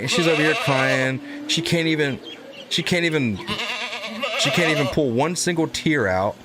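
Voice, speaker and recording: A man hosting casual political reaction commentary, blunt and profane, rambling with frequent "right?" tags. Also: on the high side.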